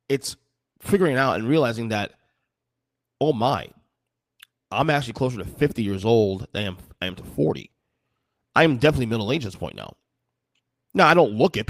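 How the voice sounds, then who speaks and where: A man's voice: fairly low pitch, dry recording. A man in his forties hosting a solo podcast, talking casually with many restarts and fillers. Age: 40s. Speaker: podcast host.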